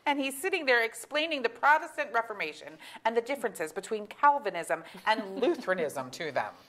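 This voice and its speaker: A woman's voice: fairly high pitch, big pitch swings, fairly quick, a bit bright. A woman acting an exasperated, candid middle-aged mother in a staged comedy reading, with a wry, emphatic delivery. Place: auditorium stage.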